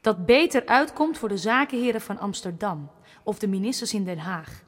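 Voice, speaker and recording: A woman's voice: mid-range voice, normal speed, close to an everyday voice. A woman playing a proud, defiant campaigner in a Dutch radio drama, speaking with pointed, sardonic rhetoric in a reverberant hall. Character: proud, defiant woman fighting for her flooded region. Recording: long reverb of a large hall.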